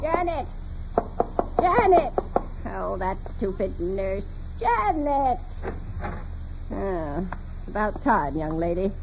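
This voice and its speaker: A woman's voice: mid-range voice, sing-song, slow, pauses often. An actress playing a despotic, irascible old invalid woman of wealth in a 1940s radio drama, imperious, suspicious and sarcastic. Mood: impatient, scolding. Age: older. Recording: muffled, noisy 1940s radio recording.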